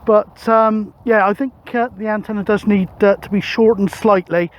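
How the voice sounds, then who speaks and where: A middle-aged man narrates a casual outdoor vlog, thinking aloud with hesitant pauses. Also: high-pitched, steady tone. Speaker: radio hobbyist vlogger. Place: open hilltop field, outdoors. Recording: outdoor recording with wind noise.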